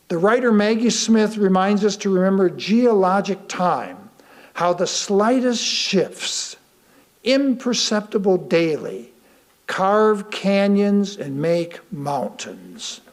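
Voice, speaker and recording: Man, high voice, very slow delivery, scripted sermon, deliberate emphatic pauses. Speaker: lay reader of a sermon. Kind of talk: sermon read aloud from a script.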